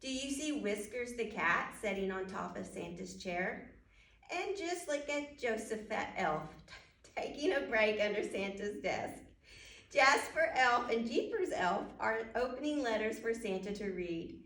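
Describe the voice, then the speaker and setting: A woman's voice: fairly high pitch, lively intonation, unhurried, fairly bright tone. A woman reading a children's storybook aloud at storytime, speaking directly to young listeners and putting questions to them. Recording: roomy echo, distant microphone.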